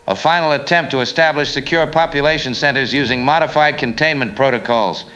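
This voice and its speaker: A man playing a grave government official making a formal, measured emergency announcement in a radio drama. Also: medium pitch, pushed and bright, lightly acted. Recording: noisy emergency radio transmission.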